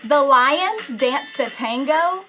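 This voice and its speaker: A woman reading a children's storybook aloud like a teacher, with deliberate, expressive phrasing. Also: sits high, music in the background.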